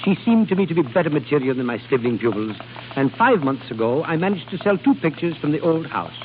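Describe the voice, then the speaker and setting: An actor playing a worldly, penniless aristocratic schemer in a 1930s radio drama, speaking in a clipped, urbane, theatrical way. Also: male voice, fairly high pitch, big pitch swings, fast. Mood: wry, matter-of-fact. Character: worldly, penniless aristocratic fortune-hunter, male, coolly scheming. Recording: hissy, tinny old radio broadcast.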